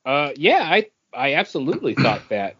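A man's voice: a little high, wildly swooping pitch, moderate pace, a bit bright, breathy edge. A man chatting casually as a co-host on a podcast. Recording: slightly muffled, lo-fi audio.

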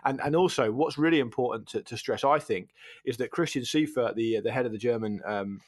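A man's voice: medium pitch, fairly quick, no room sound. A man giving conversational football punditry, speaking in an emphatic, discursive way close to the microphone. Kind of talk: conversational football analysis and banter.

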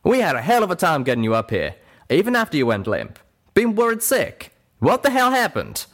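A hot-tempered male pegasus from a storybook , acted out by a man, pitched up and swooping as he talks. He is blunt, scolding and protective. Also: huge pitch swings, a little fast, heavily acted. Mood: exasperated, worried.

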